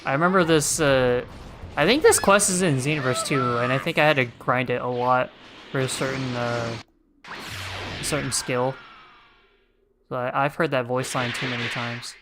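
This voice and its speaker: A game streamer giving casual, playful commentary on play, with excitable outbursts. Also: medium pitch, moderate pace, pauses often, music in the background. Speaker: livestreamer. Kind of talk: casual gameplay commentary with shouted move names.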